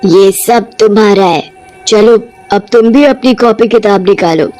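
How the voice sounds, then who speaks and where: A woman's voice: medium pitch, unhurried, warm tone, soft background music, close to an everyday voice. Woman doing a kind witch schoolteacher. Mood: firm.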